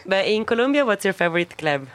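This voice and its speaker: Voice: mid-range voice, big pitch swings, fast, fairly bright tone. An interviewer with an Italian accent asking a DJ questions in chatty, encouraging English full of likes and small laughs. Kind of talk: casual interview.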